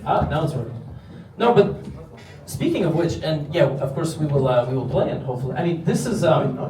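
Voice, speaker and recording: A man's voice: a little high, big pitch swings, brisk, a crowd in the background. A man hosting a live show, chatting casually with fillers and restarts. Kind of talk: casual stage chat. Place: event hall with live audience. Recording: roomy hall from far off.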